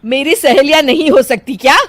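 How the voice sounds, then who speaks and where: A woman voicing an easily offended, bossy middle-aged mother-in-law in an animated story, with a scolding, exclamatory way of talking. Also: sits high, brisk, dry recording. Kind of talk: animated moral story voice acting.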